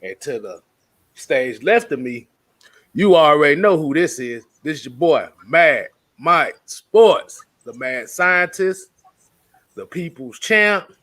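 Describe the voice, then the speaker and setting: Bright-voiced, slangy man hosting casually. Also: a little high, very animated, slow, dry recording. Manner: informal, slangy, jokey with swearing. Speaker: co-host of a football fan show. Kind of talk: casual live-stream banter.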